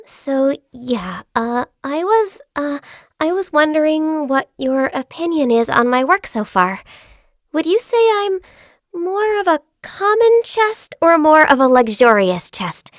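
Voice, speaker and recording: An actress voicing a gentle, shy and diligent young female secretary in a video game, soft-spoken, earnest and a little hesitant. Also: sits high, no echo, studio quality. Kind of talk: video game character voice lines. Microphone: close studio mic.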